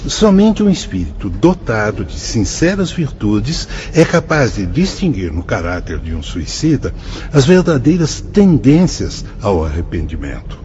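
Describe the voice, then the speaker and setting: A solemn, wise spirit guide. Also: male voice, lowish voice, very animated, unhurried, a little mellow, highs cut off. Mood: explaining. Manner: solemn, dramatic, sweeping phrasing. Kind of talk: radio drama acting.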